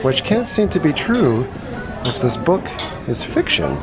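A man's voice: fairly high pitch, sing-song, unhurried, over loud music. A man in his forties giving a wry, chatty running commentary as he tours sights on a trip, filmed on a handheld camera. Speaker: travel vlogger. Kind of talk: casual travel vlog narration. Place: busy indoor restaurant. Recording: noisy restaurant crowd around him.

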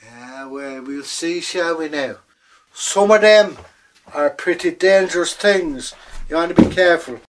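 A man voicing a small, mischievous male leprechaun, shrill, loud and wheedling. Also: unhurried, distant mic, a little hiss or hum, close to an everyday voice. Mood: sly.

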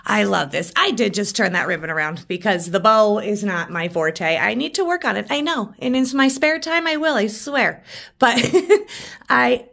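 A woman with a froggy, hoarse voice chats through a craft tutorial while working at a coffee table in her living room. She is self-deprecating and laughs at her own mistakes. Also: medium pitch, lively intonation, normal speed, fairly bright tone. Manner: chatty, self-deprecating, giggly. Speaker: craft vlogger. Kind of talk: casual DIY tutorial narration.

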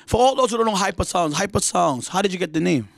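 A man hosting an interview in a small studio on a handheld mic, energetic and hyping up his guests. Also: high-pitched, fast talker, gravelly, dry recording. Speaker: radio DJ and interview host. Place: small studio with green lighting.

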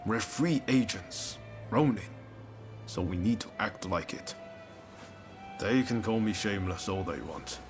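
Earnest young ronin over prominent music. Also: male voice, fairly low pitch, expressive, a little slow. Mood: reflective, resolute. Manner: casual, earnest, encouraging. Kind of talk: video game cutscene acting. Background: game music.

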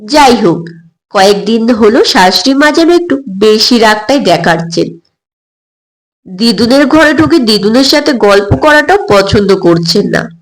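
A woman reading a scripted first-person story as narrator, in an expressive storytelling style. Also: on the high side, measured pace, faint music behind, low-quality recording.

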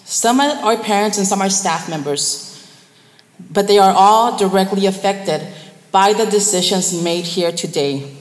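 A middle-aged woman from South Texas reading a solemn, firm prepared statement as a parent at a public meeting. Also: mid-range voice. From South Texas, United States. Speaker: parent speaking at public comment. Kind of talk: formal prepared speech, read out. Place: large indoor board meeting room. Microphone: gooseneck podium microphone. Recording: echoey, reverberant meeting hall.

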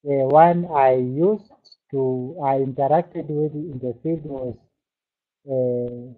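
A man speaking as a webinar panelist over a video call, talking in a slow, measured way with hesitant fillers. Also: mid-range voice, steady tone. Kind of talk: remote webinar contribution. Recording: tinny phone-like call audio.

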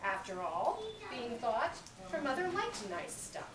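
A woman's voice: on the high side, unhurried, a crowd in the background. A middle-aged American woman reading a children's story aloud expressively, projecting to young listeners. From California, US. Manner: animated, projecting to a young audience. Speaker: children's author reading at a library. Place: library reading area, indoors. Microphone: camera a few metres away. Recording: echoey, noisy room, distant camera mic.

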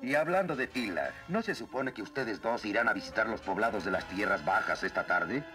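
A man's voice: lowish voice, lively intonation, fairly quick, music in the background, close to an everyday voice. A man playing a proud, friendly inventor and soldier in a Latin American Spanish cartoon dub. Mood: pleased. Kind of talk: dubbed cartoon acting.

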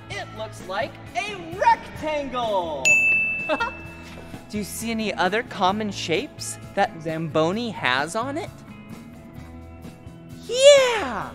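Young male kids' host, bouncy lively pitch, slow deliberate delivery, music under. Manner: exaggerated exclamations and giggles. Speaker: children's show host. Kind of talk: animated educational narration for toddlers. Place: indoor ice rink.

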